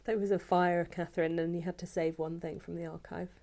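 A woman's voice: fairly low pitch, level tone, brisk. Polite woman interviewer. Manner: polite, gentle questions. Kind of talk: oral history interview.

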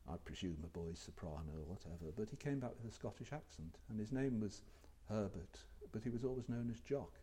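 A man's voice: on the low side, fairly quick, few pauses, warm tone, slightly rough. An older man, a former university lecturer, recalling his career in a reflective, hesitant way full of ums. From England. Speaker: retired lecturer being interviewed. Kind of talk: oral history interview.

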